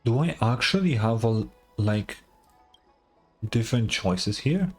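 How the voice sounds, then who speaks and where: A man's voice: mid-range voice, expressive, takes it slowly, slightly rough, soft background music. A man giving casual game commentary as a streamer, musing in a laid-back, hesitant way.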